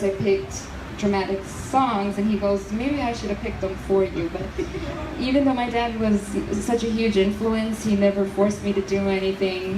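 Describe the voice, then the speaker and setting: Earnest woman singer, noisy outdoor festival. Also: medium pitch. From New Jersey, United States. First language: Portuguese. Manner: earnest, reflective, with fillers. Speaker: fado singer, interview guest. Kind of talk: casual interview. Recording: echoey festival crowd noise from far off.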